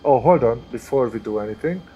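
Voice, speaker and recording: A man's voice: medium pitch, lively intonation, fairly quick, highs cut off, slight background noise. A man with a Hungarian accent, streaming live and commenting casually as he thinks aloud through each step. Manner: chatty, thinks aloud while working through steps. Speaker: flight sim streamer.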